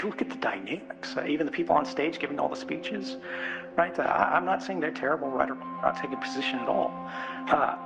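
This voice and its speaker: American man speaking publicly, lively and creaky. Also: a little high. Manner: conversational and emphatic, rhetorical questions and check-in tags. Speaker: conference speaker. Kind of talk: public speech, informal and unscripted. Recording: speech with music laid underneath. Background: music.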